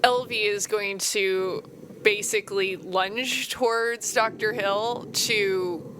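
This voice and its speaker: A woman playing a tabletop game, casually describing what her character does, recorded with clear background noise. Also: medium pitch, fairly even intonation, takes it slowly.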